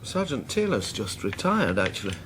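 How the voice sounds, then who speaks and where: An actor playing a weary, guarded psychic detective in a radio drama, Scottish, measured and dryly understated. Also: male voice, lowish voice, very animated, noisy background. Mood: dry, teasing. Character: weary, guarded male psychic detective, fond of his mother. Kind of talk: radio drama acting, with introspective narration.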